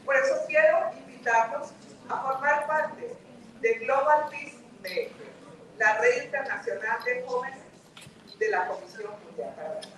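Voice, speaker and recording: A woman's voice: high-pitched, measured pace, a bit bright. A woman giving an earnest, rhetorical speech at a conference, inviting young people to join a cause. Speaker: conference speaker. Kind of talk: formal persuasive address. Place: large conference hall. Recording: very echoey hall, distant mic.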